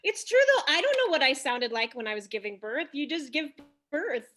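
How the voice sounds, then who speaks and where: A woman's voice: sits high, big pitch swings, brisk, pushed and bright. A woman speaking casually as a cast member in a group conversation. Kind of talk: casual chat.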